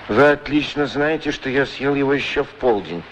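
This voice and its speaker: A man's voice: medium pitch, moderate pace, close to an everyday voice. An actor playing a lonely middle-aged shoeshine man in a radio play, grumbling yet wistful and proudly polite. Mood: grumbling, defensive. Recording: noisy 1960s radio recording.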